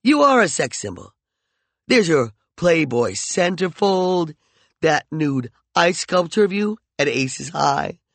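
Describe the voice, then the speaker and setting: A man's voice: on the high side, big pitch swings, a little slow, gravelly, long pauses, no echo, pitched above the performer's own voice, exaggerated voice. A man playing a jealous, volatile hothead. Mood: mocking. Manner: heated, mocking and intense. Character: jealous, volatile young man, raging then pleading.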